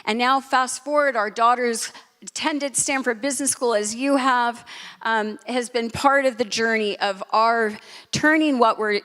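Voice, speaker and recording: A woman's voice: on the high side, unhurried, fairly bright tone. Woman co-hosting in a very echoey hall. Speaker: event co-host and philanthropist. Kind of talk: spoken welcome speech on stage. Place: large reverberant venue. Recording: long hall reverb.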